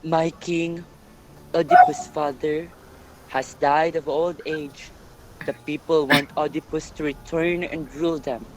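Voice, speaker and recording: A man's voice: fairly high pitch, steady tone, unhurried, a little hiss or hum, natural voice, rough recording. A man playing a plain-spoken messenger and former shepherd in a readers' theatre Greek tragedy, declaiming his lines earnestly. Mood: respectful announcing.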